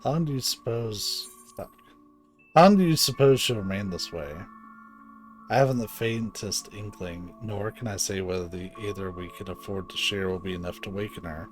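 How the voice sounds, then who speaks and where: A man's voice: lowish voice, moderate pace. A man streaming a video game with informal, sweary live commentary, sometimes reading the dialogue aloud. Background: game music.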